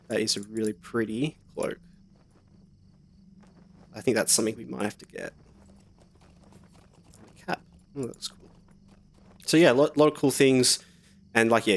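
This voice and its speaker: A young man casually talking through a game on a live stream, rambling with lots of fillers while slightly sick and sniffly. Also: on the low side. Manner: relaxed, rambling, many fillers.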